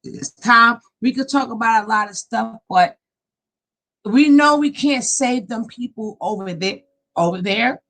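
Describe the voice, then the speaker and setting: A woman hosting a casual live panel stream over a video call, animated and laughing often. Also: fairly high pitch, measured pace. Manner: animated, laughing, talks up a storm.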